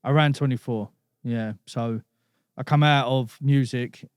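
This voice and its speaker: A man's voice: mid-range voice, steady tone, unhurried, clean tone, dry recording, studio quality. A man, a podcast guest telling stories in casual chat, laid-back and dropping "you know what I mean" often. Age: 30s.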